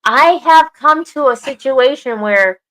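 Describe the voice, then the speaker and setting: A woman's voice: high-pitched, lively intonation, a little slow, a bit bright, poor audio. A woman co-hosting a podcast, talking earnestly and repeating phrases for emphasis. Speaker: podcast co-host. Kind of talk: casual podcast chat.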